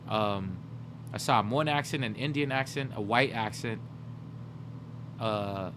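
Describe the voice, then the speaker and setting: A young man talking to his live chat in a loose, rambling way, working out joke ideas as he goes. His voice sits in a middle register. A steady hum runs under the recording. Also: medium pitch. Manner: rambling, brainstorming jokes aloud, talking to chat. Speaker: livestreamer. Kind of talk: casual chat with live viewers.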